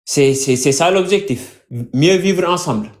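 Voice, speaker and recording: A man from Senegal calling into a live debate, arguing haltingly with repeated words and asking to be heard. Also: fairly high pitch. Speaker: debate caller.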